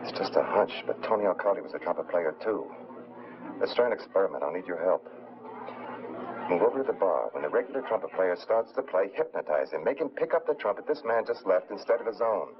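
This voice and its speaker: An actor playing a shrewd, commanding detective in a 1950s crime film. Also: male voice, sits low, expressive, a little fast. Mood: intent, instructing. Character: shrewd, commanding amateur detective, composed man. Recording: muffled, noisy old film soundtrack.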